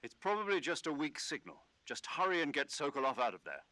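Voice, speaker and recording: An actor playing a brisk, commanding military officer directing a mission in a video game. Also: male voice, fairly high pitch, sing-song, pushed and bright, low-quality recording. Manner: brisk, authoritative.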